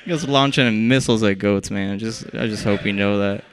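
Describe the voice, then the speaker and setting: A young man from Iraq works a small comedy club crowd into a handheld mic, with a wry, deadpan delivery. Faint noise from the club sits behind him. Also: lowish voice, a little fast. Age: young adult. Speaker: stand-up comedian. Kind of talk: stand-up comedy set. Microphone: handheld stage mic.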